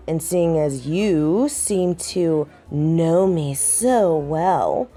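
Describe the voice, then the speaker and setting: Woman acting a slow, low-voiced sardonic she-wolf. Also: mellow. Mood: grudging, sardonic. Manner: dry, sarcastic, drawling. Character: sardonic tipsy female werewolf pack second-in-command, proud and prickly. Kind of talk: audio roleplay drama acting.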